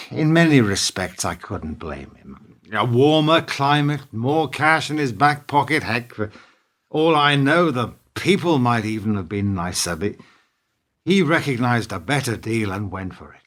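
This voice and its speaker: A man acting in a radio play as a bitter, principled business partner, speaking in a measured, sardonic, needling way. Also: mid-range voice, lively intonation, fairly bright tone. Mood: wistful, reflective.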